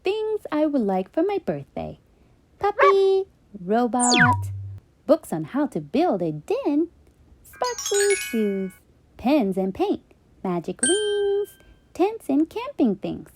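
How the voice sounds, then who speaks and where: A woman's voice: high-pitched, huge pitch swings, takes it slowly, music in the background, pitched above the performer's own voice, heavily acted. A woman voicing a cheerful little girl reading her thank you letters in a children's storybook, with a sing-song manner. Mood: excited. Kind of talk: children's storybook read-aloud.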